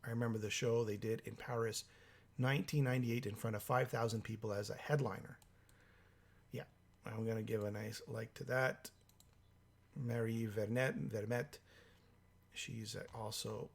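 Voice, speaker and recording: Hoarse man hosting a live stream. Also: mid-range voice, fairly even intonation. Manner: halting, thinking aloud, many fillers. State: hoarse from a cold. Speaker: livestream host. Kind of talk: live stream reading viewer comments.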